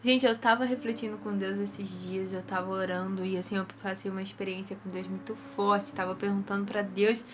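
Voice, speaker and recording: A woman's voice: mid-range voice, level tone, brisk, few pauses, noisy background. A young woman with a Brazilian Portuguese accent gives an earnest, casual devotional talk to her viewers, her nose stuffy from rhinitis. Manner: earnest, repetitive, conversational.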